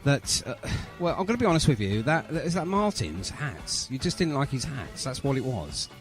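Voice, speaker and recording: Animated male radio host, playful banter. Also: medium pitch, a little fast, soft background music. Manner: jokey, teasing banter. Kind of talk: casual music interview chat.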